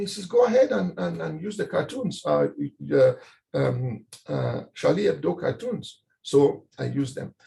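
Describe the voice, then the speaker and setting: Halting gravelly man from Cameroon. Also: high-pitched, dark tone. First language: French and English bilingual. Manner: halting, repeats words and restarts often. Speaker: panelist. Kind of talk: conference panel talk.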